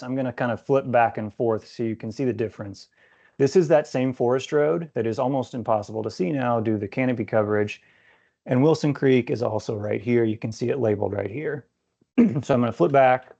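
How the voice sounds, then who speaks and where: A man giving an informative slide presentation in a measured, explanatory way, with a voice that is starting to go. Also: medium pitch, darkish tone. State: losing his voice, clearing throat. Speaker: conservation staff presenter. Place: virtual summit video call. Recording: dull lo-fi online call audio.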